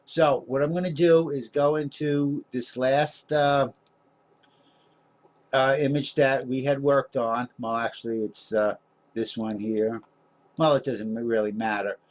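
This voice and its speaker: A man casually narrating a screen-recorded software tutorial, rambling with many fillers and small jokes about himself. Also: mid-range voice, steady tone, a little slow. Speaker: tutorial host.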